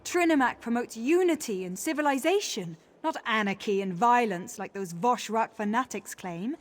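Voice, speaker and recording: An actress voicing a proper, indignant orc high priestess in a fantasy game, formal, earnest and emphatic. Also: female voice, lively intonation, normal speed, lightly acted.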